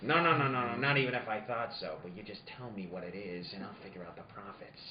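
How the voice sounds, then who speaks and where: An actor playing a tough, wry, unflappable private detective in a noir radio play, with a terse, sardonic delivery. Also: male voice, lowish voice, fast, crowd noise, close to an everyday voice. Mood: terse, shrewd. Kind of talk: film noir radio play with first-person narration. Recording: muffled, echoey room.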